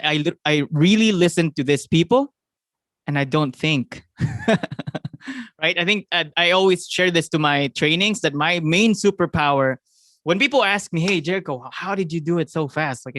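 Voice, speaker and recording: A young man with a Filipino accent giving an excited, fast motivational talk to his sales team, often asking "right?" and laughing. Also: a little high, dry recording, clean studio sound. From Philippines. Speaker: network marketing team leader.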